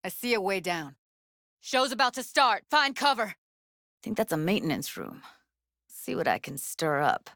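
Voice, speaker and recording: A woman's voice: medium pitch, very animated, normal speed, gravelly, long pauses, a bit bright, dry recording, clean studio sound. A woman voice-acting a tough, blunt but tender-hearted young techie in a video game, with clipped, slangy, sweary phrasing. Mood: urgent, focused.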